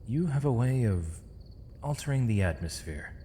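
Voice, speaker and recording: A man playing a gentle, weary, eloquent imprisoned mage in an ASMR roleplay, speaking in measured, formal, poetic phrases with thoughtful pauses. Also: mid-range voice, animated, a little hiss or hum, natural voice. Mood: tender, soft. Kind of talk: ASMR roleplay, intimate dramatic monologue. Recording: long reverb, faint night insect ambience.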